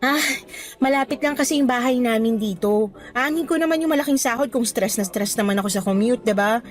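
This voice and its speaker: A woman playing an earnest, cheerful young novice teacher in a radio romance drama, chatty and expressive. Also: fairly high pitch, fairly quick, natural voice. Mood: earnest, friendly. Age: young adult. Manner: chatty, expressive, casual Taglish.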